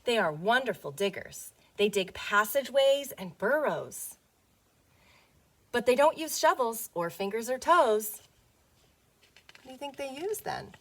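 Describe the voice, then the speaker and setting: A woman teacher reading a picture book aloud to young children, playful and expressive, often putting questions to them. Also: a little high, measured pace, long pauses.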